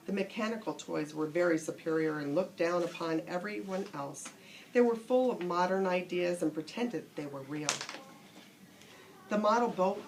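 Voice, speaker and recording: A woman's voice: on the low side, low-quality recording. A woman narrating a children's bedtime story, reading aloud from a storybook. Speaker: storybook reader. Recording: faint background noise, room ambience.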